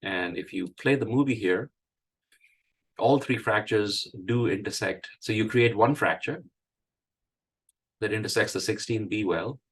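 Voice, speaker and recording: Man, hoarse from a cold, very low husky voice; halting technical presenter. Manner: halting, with many fillers and restarts. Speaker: engineering presenter. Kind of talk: technical presentation at an online meeting.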